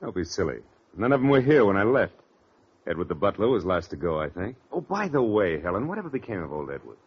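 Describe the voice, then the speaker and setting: An actor playing a smooth, cocky young American impostor in a breezy, teasing 1940s radio mystery style. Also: male voice, deep voice, big pitch swings, quick pace, full character voice. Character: smooth, cocky impostor posing as a long-lost brother. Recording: muffled vintage radio recording.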